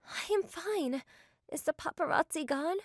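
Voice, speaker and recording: A woman's voice: extremely high pitch, expressive, measured pace, no echo, clean studio sound. A woman voicing a sweet, devoted young woman in a manga dub, speaking earnestly. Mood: shy, affectionate.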